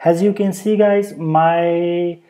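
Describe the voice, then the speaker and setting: A native Brazilian Portuguese speaker with a Brazilian Portuguese accent, speaking hesitant English with many pauses in a casual show-and-tell vlog recorded at home on a handheld camera. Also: mid-range voice, steady tone, mellow. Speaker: vlogger. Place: indoors at home, over a bed.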